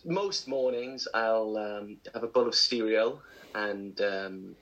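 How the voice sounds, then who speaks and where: A man's voice: fairly low pitch, slow, fairly bright tone, dull audio. A young man co-hosting a casual breakfast show, telling rambling, self-mocking stories. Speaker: co-host.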